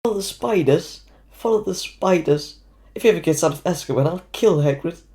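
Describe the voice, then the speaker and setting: A man playing an anxious, blunt schoolboy wizard in an audio drama, halting and incredulous. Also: fairly high pitch, lively intonation, mellow. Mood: exasperated. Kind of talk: fan audio drama acting.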